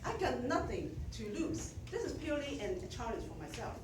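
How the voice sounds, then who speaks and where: A middle-aged woman with a Chinese accent delivers a prepared speech to her club, measured and gently self-deprecating. Her voice sits a little high. She is picked up from a distance in an echoey meeting room with clear room noise around her. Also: big pitch swings. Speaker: outgoing club president.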